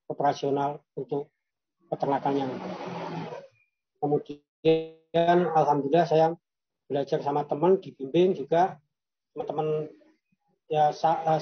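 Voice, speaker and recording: A man from Yogyakarta telling his own business story in a halting way, with many fillers and restarts. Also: on the high side, breathy edge, muffled recording. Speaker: guest entrepreneur. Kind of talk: personal storytelling.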